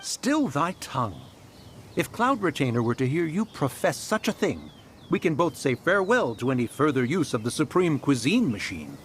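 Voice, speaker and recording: A man's voice: on the high side, sing-song, normal speed, a little hiss or hum. A man voicing an irritable ancient sage in a game, who speaks in archaic, scolding tones. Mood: stern. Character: stern ancient male adeptus, irritable. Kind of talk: game voice acting.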